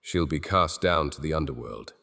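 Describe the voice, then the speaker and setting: A man's voice: very deep voice, expressive, normal speed, gravelly, bright, forceful tone, exaggerated voice. A man voicing a guilt-ridden male king who stammers and pleads, in an audio drama with long hall reverb. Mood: anguished.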